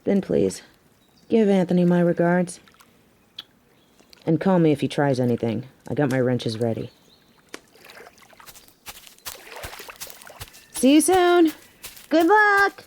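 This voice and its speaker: A woman's voice: lowish voice, very animated, measured pace, pauses often, darkish tone, a little hiss or hum. A woman acting in an audio drama as a biker girl, a devoted human mate with a teasing, sarcastic way of talking. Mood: concerned, supportive. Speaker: voice actor in an audio roleplay.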